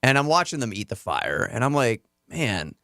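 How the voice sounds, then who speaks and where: Male guest co-host, sardonic and joking, very lively intonation, tight dry studio mic. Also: medium pitch, normal speed, no echo. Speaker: guest co-host, radio morning host. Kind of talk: casual morning radio banter. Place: radio studio. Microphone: close studio mic.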